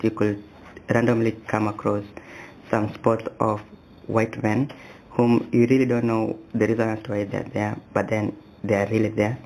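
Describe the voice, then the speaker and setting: A man reading an even, explanatory scripted voice-over. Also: on the low side, gravelly, slight background noise, low-quality recording. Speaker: narrator.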